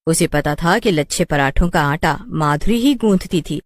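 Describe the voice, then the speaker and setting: A woman's voice: deep voice, expressive, moderate pace, no room sound. A woman narrator telling a scripted story in a storytelling style.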